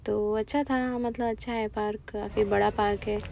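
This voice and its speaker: A woman narrates a casual walking vlog, chatting as she points out shops and sights. Her voice has a gravelly edge. Passing traffic and street noise are clearly heard behind her. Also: mid-range voice, flat delivery, normal speed, darkish tone. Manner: chatty, plainly pointing things out while walking. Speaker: vlogger. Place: outdoors on city streets.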